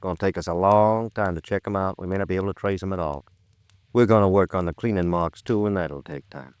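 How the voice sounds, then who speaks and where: An actor playing a skeptical, plain-spoken police lieutenant in an old-time radio noir drama, with an American accent and a folksy, probing way of questioning. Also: male voice, sits low, fast, clear, resonant tone, dry recording, natural voice. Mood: weary, businesslike. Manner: folksy, probing, drops words and endings. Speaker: police detective questioning a witness.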